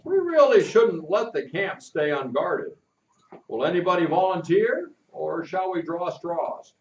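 A man's voice: sits high, very animated, unhurried, darkish tone, roomy sound, far from the mic, highs cut off, voice pushed up, full character voice. Older man playing a fatherly camp captain. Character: kindly grown-up camp leader captain.

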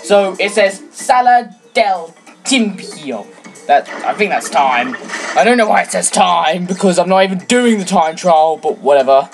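A teenage girl whose first language is French talks through a video game in a chatty, rambling way, now and then breaking into excited shouts. Her voice sits a little low for a girl. Loud game music plays underneath her the whole time. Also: a little slow. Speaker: let's play gamer. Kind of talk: casual game commentary.

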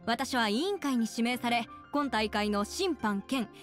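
A poised young female announcer in an anime game. Her high voice is formal and ceremonious, with measured phrasing. Light music sits underneath. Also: lively intonation. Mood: formal, proud.

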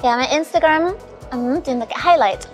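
A woman's voice: high voice, normal speed, soft background music. A woman in her twenties from the Caribbean and England, chatting casually and candidly as an interview guest over a meal. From Caribbean, later England. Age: 20s. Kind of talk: casual chat over afternoon tea. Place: spacious hotel lounge restaurant, indoors. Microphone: small recorder or phone close across the table.

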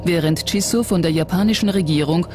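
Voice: mid-range voice, a little fast. A documentary narrator reading a scripted text in a factual documentary style. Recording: music bed under narration. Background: background music.

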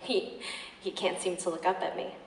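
A young woman reciting slam poetry on stage with a fierce, emphatic, rhythmic delivery and dramatic pauses. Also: lowish voice, steady tone. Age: young adult. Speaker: slam poet performer. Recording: echoey room, distant microphone.

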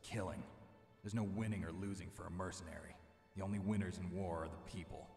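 A low, gruff American male mercenary speaks in a video game cutscene with long reverb and music underneath. He is jaded and stoic, delivering terse, blunt lines in deadpan fashion. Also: fairly quick. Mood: bleak, jaded. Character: jaded, stoic male mercenary soldier, hard-bitten loner. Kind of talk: dramatic game cutscene dialogue.

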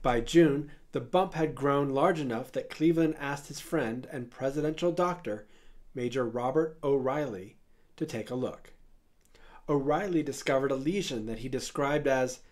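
A man tells a history story as scripted narration, in a measured way. He drops an occasional dry aside, and his voice sits at an ordinary middle pitch. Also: a little mellow.

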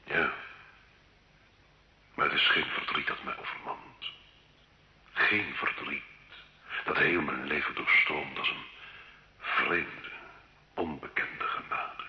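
Slow, deep-voiced haunted nobleman. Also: steady tone, background noise. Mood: wistful, brooding. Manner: solemn, brooding, dramatic. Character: condemned nobleman, former soldier, haunted, proud, anguished sinner. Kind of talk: slow theatrical radio drama acting. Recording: dull old radio recording, roomy echo.

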